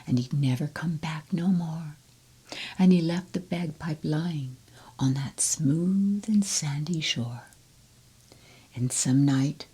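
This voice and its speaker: A woman reading children's poems aloud in a soft, gentle whisper, close to the mic. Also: deep voice, breathy edge. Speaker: storybook reader. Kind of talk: whispered ASMR poetry reading.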